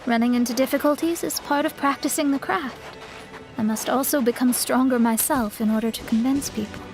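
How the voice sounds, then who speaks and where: A woman's voice: high voice, brisk, faint music behind. A woman playing a proud, stern young astrologer in an anime game, speaking formally and with lofty self-assurance. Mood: solemn storytelling. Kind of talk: anime video game voice acting.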